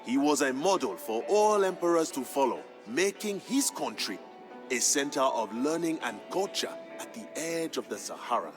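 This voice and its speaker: An actor playing a proud royal griot, narrating a strategy game's campaign story in a grand, measured and emphatic manner. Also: male voice, medium pitch, lively intonation, close to an everyday voice. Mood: reverent, calm. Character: proud male griot, a king's humble family historian, solemn storyteller. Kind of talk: dramatic scripted narration for a strategy game campaign. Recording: music behind the voice. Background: background music.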